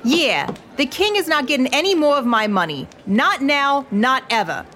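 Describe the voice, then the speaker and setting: An actress playing a friendly young tavern waitress who talks in a chatty, brisk way. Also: female voice, high voice, lively intonation, fairly bright tone, a little hiss or hum.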